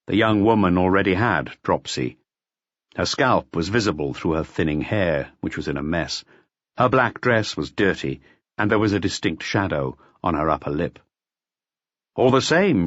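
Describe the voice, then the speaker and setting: A man reading a detective novel aloud as an expressive audiobook narrator. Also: low-pitched, no echo, lo-fi sound.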